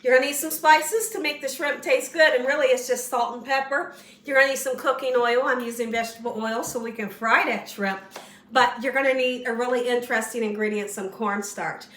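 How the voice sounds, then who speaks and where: A woman hosting a casual home cooking tutorial, slightly hoarse as she loses her voice, chatty and encouraging toward viewers. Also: on the high side, steady tone, a little fast, a bit bright, echoey room, recorded from a distance. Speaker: cooking show host. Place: home kitchen.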